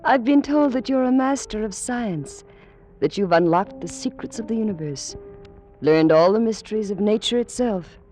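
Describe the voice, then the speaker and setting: Casting: actress as proud young lady of ancient Egypt, mid-pitched, romantic radio-play style, faint music underneath. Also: expressive, moderate pace, mellow, lightly acted. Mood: teasing, passionate. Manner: formal, romantic, theatrical. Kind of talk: old-time radio drama acting.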